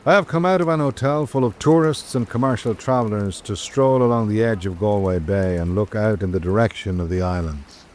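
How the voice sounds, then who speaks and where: A man playing a reflective traveller, narrating an island visit in measured literary prose for a radio drama. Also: lowish voice, a little mellow, slight background noise, close to an everyday voice. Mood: sombre, reflective.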